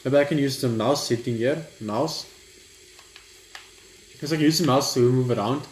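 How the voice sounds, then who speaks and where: A young man plays and talks through a game in a casual way. He reads aloud haltingly, tripping over words. The recording is echoey, with some noise behind him. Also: mid-range voice. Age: young adult. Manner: halting, stumbles when reading aloud. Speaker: gaming video host. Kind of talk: casual gameplay commentary and reading aloud. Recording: roomy echo, clear background noise.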